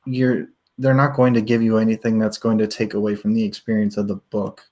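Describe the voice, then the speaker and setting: A man's voice: lowish voice, little pitch movement, brisk, a little mellow. Rambling man discussing a book. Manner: rambling, hesitant, laughs often. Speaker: book podcast co-host.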